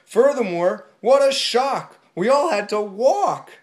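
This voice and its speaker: A woman's voice: fairly high pitch, animated, slow. A woman voicing a frantic chorus of tiny bees in a storybook, rhyming in a panic. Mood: panicky. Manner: panicky sing-song rhyme.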